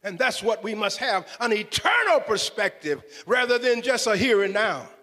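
A man's voice: sits high, sing-song, normal speed, pushed and bright. A man preaching a fervent sermon with scripture, exclaiming and repeating phrases for emphasis. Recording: echoey hall with long reverb.

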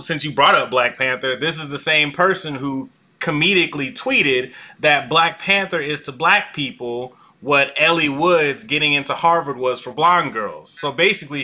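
Guy hosting a live stream, really bright sharp voice, lots of ums and restarts, video call mic. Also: mid-range voice. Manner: chatty, lots of fillers and restarts, laughs often. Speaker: host. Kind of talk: casual live-stream discussion.

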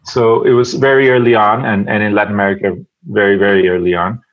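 A man from Venezuela, speaking English as a guest on a podcast, halting and repeating words as he thinks aloud. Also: on the low side, flat delivery, highs cut off. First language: Spanish. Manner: halting, many repeated words, soft laughs. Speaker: podcast guest, advertising creative. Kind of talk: casual interview chat.

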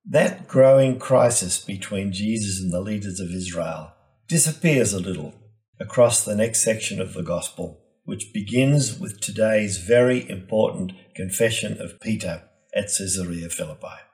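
A male priest giving an expository reflection on scripture in a measured, teaching way, stressing key names and phrases. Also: fairly low pitch, darkish tone.

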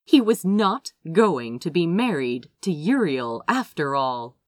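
A woman reading a story aloud as an audiobook, with expressive, theatrical scripted narration. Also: low voice, slow pace, no room sound, studio quality. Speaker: audiobook reader.

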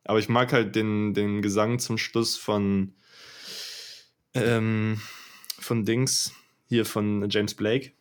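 A man's voice: lowish voice, little pitch movement, takes it slowly, pauses often. A young man co-hosting a podcast, chatting casually and emphatically about rap albums. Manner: enthusiastic, emphatic, slangy. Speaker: podcast co-host. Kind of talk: casual chat about music.

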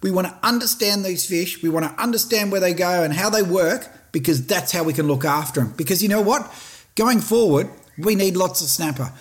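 A middle-aged Australian man talks casually on his fishing podcast. He is blunt and passionate, and his voice sits slightly high for a man. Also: a little fast. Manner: blunt, passionate, plain-spoken. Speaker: fishing show host.

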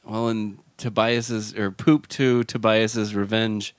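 A man in his thirties, talking quietly and low-key in a casual podcast chat on a microphone. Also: flat delivery, slow pace, no room sound, clean studio sound.

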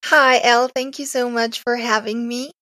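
A woman's voice: a little high, steady tone, moderate pace, bright, forceful tone, dry recording, studio quality. A young woman from Serbia, a native Serbian speaker, chatting as a podcast guest, hesitant and often laughing. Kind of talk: casual interview chat.

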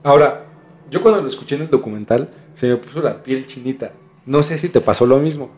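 A Mexican man hosting a podcast, telling things in an informal, halting way with some mild swearing. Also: mid-range voice, darkish tone, soft background music. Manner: informal, halting, mildly profane. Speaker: podcast host. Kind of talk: casual storytelling discussion.

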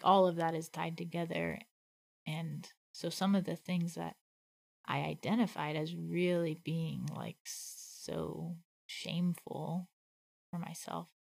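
A woman talks slowly and thoughtfully in a relaxed conversation about faith, leaning on "like" as she thinks aloud. Her voice is husky with a gravelly fry and sits a little low. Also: dry recording. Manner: reflective, thinks aloud with many "like" fillers. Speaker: podcast co-host.

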